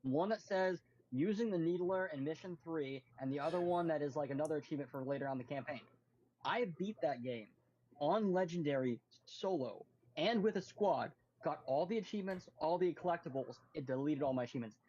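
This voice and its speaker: A man's voice: on the high side, a little fast, darkish tone, muffled recording. A man in a casual chat about video games, explaining things in enthusiastic detail.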